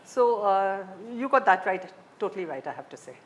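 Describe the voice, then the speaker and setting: A woman's voice: medium pitch, expressive, normal speed, dull audio. A woman, an interviewer putting questions in a conversational way. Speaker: interviewer, journalist. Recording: faint background noise.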